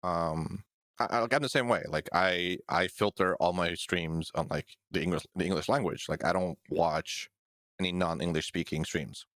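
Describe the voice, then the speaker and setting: A man with a deep, low voice chats casually as a podcast co-host. His talk is profane and full of jokes, and he laughs often. The sound is close and dry, with no room echo. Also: moderate pace, a bit bright. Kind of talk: casual chat.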